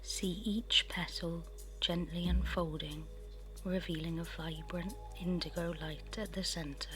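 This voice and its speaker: A woman leads a guided meditation in a soft, breathy half-whisper. Her voice is on the low side and very unhurried, with a soothing delivery. Music plays clearly behind her. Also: steady tone. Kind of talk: guided meditation, scripted narration.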